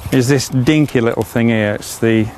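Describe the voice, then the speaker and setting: A man's voice: medium pitch, moderate pace, darkish tone, a little hiss or hum. A middle-aged man, chatting enthusiastically as he demonstrates fishing rods, with frequent "uh" fillers. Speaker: fishing tackle presenter. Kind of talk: product demonstration.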